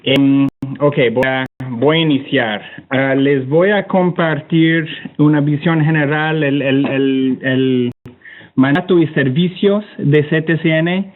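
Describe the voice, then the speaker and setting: Halting Canadian-accented man on a phone-like line. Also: on the high side, slight background noise. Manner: halting, hesitant, repeats words while searching for them. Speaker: webinar panelist, climate technology organisation representative. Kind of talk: informal spoken presentation with slides. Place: online webinar call. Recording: narrow tinny phone-like online connection.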